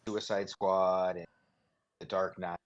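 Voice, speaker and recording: A man's voice: sits low, little pitch movement, slow, dry recording, muffled recording. A man co-hosting a podcast, musing casually in loose, informal phrases. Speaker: podcast co-host. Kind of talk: casual chat.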